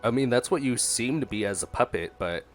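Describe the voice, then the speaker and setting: A man giving casual, halting gameplay commentary as a streamer, musing aloud and reading out dialogue choices. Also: mid-range voice, animated, faint music behind.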